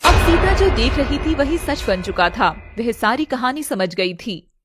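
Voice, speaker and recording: Woman, story narrator, dramatic scripted delivery, high pitch, music in the background. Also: very animated, unhurried.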